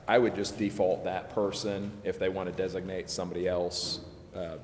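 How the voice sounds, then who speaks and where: Deep-voiced American man in an echoing hall. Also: flat delivery, normal speed. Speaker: public finance lawyer advising the council. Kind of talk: informal explanation at public meeting. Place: large indoor council chamber. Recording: very echoey noisy chamber.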